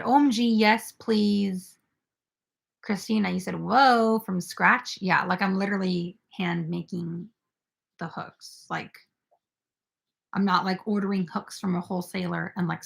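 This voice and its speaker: A woman's voice: mid-range voice, vocal fry. A young woman hosting a casual crochet live stream, chatting with viewers and filled with "like" and "um". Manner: chatty, filler-heavy, reads viewer comments aloud.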